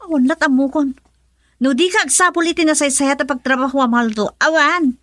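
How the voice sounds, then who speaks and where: A woman's voice: sits high, brisk, fairly bright tone, no room sound. An actress playing an exasperated wife who nags her husband about money, in a radio drama. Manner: scolding, nagging. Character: exasperated wife fed up with poverty.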